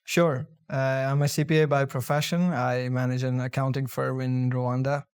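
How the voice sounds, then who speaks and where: A man's voice: mid-range voice, flat delivery, normal speed, clean tone. A man, a guest in a podcast interview, explaining earnestly with many fillers and restarts. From India. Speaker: guest, accountant.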